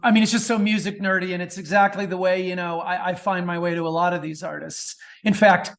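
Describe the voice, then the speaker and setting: A middle-aged man with a notably high voice talks in a casual interview. He is an enthusiastic, chatty storyteller who speaks as a guest. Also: level tone, fairly quick, gravelly, a bit bright. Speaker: author and film producer, podcast guest. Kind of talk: casual interview talk about music.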